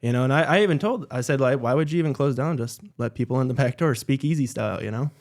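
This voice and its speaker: A man guest on a podcast in a studio, telling his story in a relaxed, matter-of-fact way. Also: mid-range voice, fast talker. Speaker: guest, entrepreneur. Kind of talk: casual interview.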